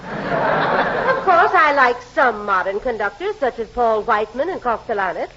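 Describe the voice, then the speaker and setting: Fussy snooty aunt, high and swoopy, prim, old radio comedy. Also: female voice, big pitch swings, measured pace. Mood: lofty. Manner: prim, theatrical. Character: fussy, highbrow, disapproving maiden aunt. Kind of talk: 1940s radio comedy acting. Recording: noisy, dull old radio recording.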